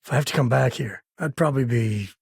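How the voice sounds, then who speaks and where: A man's voice: medium pitch, fast talker, creaky, a little mellow, slightly rough, dry recording, clean studio sound. A man voicing an easygoing, folksy space ranger and father in a video game, with a wry, casual drawl. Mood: wry, relaxed.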